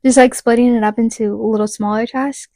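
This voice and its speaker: Chatty higher-voiced young woman. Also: steady tone, brisk, mellow, no echo, studio quality. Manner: chatty, steering the topic with practical tips. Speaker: podcast co-host. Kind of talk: casual chat.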